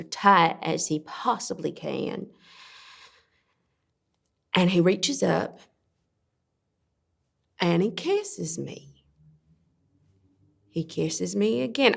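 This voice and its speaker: Young actress as weary abused daughter, gravelly creak, unhurried halting monologue. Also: female voice, on the low side, heavily acted. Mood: halting, pained. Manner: halting, deliberate storytelling. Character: abused daughter, weary and protective, recounting trauma. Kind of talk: dramatic stage-style monologue.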